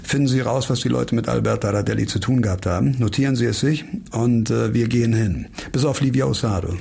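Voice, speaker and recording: A man's voice: mid-range voice, fast talker, creaky, dark tone, breathy edge. An actor playing a sardonic, world-weary middle-aged man, a former doctor investigating in a radio crime drama. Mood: brisk, dry. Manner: sardonic, muttering asides to himself. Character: wry, world-weary disgraced doctor turned amateur investigator. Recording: faint music behind the voice.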